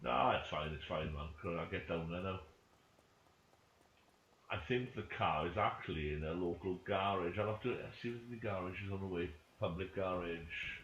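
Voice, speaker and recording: Guy gaming, jokey and sweary, lowish voice, kinda muffled with bit of hiss. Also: normal speed, a bit bright. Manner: jokey, sweary, repeats catchphrases. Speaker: streamer playing a role-play game. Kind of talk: casual gaming chat with banter. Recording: muffled, faintly noisy, echoey room.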